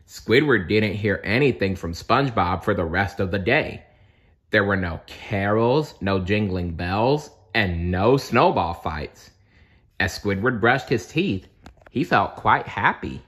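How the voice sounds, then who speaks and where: A man narrates a children's storybook aloud, taking his time. His delivery is expressive and widely varied in pitch, with a bright, forceful tone. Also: takes it slowly. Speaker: storybook reader.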